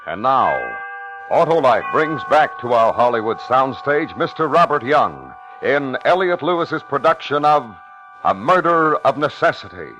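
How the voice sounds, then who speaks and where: Theatrical, animated male radio announcer. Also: medium pitch, unhurried, music in the background, low-quality recording. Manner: grand, theatrical. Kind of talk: dramatic 1950s radio announcing.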